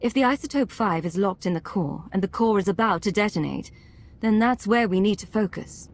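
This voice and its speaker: A woman voicing a resolute female agent who commands a covert team in a video game, speaking with measured authority. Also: mid-range voice, lively intonation, lightly acted. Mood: firm, focused. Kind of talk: scripted video game acting.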